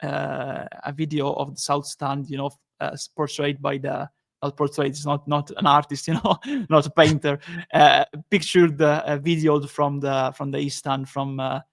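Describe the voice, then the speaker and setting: Flat-toned man hosting a podcast. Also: fairly high pitch, gravelly. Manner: halting, many restarts, upbeat. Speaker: podcast host. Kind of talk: casual football podcast chat.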